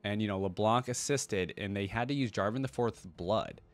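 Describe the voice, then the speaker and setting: A man's voice: fairly low pitch, normal speed, dry recording. Man giving casual reaction commentary, close dry sound. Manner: thinks aloud, addressing viewers. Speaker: host of game reaction videos. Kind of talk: casual reaction commentary with some reading aloud.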